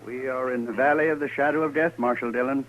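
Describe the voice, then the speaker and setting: A man acting a solemn frontier preacher in a 1950s radio western, speaking in a measured, prayerful way. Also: mid-range voice, fairly even intonation, close to an everyday voice. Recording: dull, faintly noisy old radio recording.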